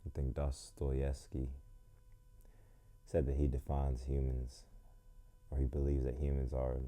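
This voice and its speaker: A man with a croaky voice leading a gentle yoga class, talking slowly and reflectively as he thinks aloud. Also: extremely low pitch, completely flat delivery. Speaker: yoga instructor. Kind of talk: calm guided yoga instruction with musing asides.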